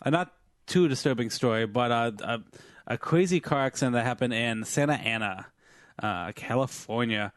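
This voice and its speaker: A man co-hosting a podcast, slightly hoarse from singing, reading out news stories in rambling casual chat full of fillers and restarts. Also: mid-range voice, moderate pace, dry recording, studio quality. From Filipino family background. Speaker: podcast co-host.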